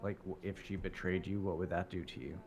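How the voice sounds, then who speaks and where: Man playing very deep-voiced probing confidant. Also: little pitch movement, brisk, noisy background. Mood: probing, calm. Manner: probing, often rephrasing himself. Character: probing male confidant questioning a prisoner about his feelings. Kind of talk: improvised online roleplay.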